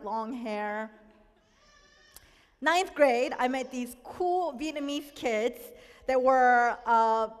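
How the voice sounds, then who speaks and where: A high-voiced young woman from South Korea shares a personal testimony. She talks in a candid, reflective way. Her voice carries a long hall reverb. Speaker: speaker sharing a personal testimony.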